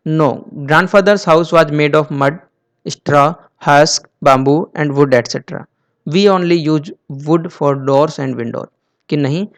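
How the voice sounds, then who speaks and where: A man teaching a school lesson, reading text slowly and then explaining it in Hindi. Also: a little high.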